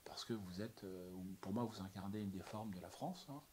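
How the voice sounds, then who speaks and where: Interviewer guy, calm and halting, slight hiss behind him. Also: lowish voice. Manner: measured, hesitant questioning with fillers. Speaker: interviewer, host. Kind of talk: interview questions.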